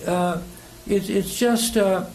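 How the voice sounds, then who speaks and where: High-voiced American man preaching, hesitant. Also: fairly even intonation, slow, darkish tone, poor audio. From United States. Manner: hesitant, rambling, chuckles between phrases. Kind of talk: conversational sermon with personal anecdotes. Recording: clear background noise.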